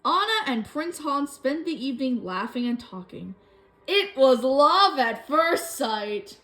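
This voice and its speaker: A woman telling a children's story from a book in an expressive way. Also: high-pitched, takes it slowly. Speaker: storybook reader.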